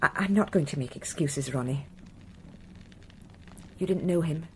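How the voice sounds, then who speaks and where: An actress with a British accent playing a wistful upper-class titled wife in a radio drama, breathy, soft and confiding. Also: female voice, low-pitched, a little slow, a little hiss or hum.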